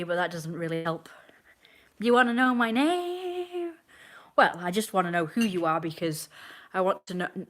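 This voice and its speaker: A woman in her twenties chatting casually on a live stream, rambling and answering viewers' comments as they come in. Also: lowish voice. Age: 20s.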